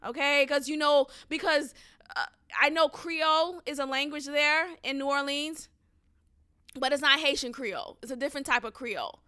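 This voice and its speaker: A young woman podcast host telling a story in a casual, emphatic and animated way, often swearing. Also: sits high, moderate pace, no echo, clean studio sound. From United States.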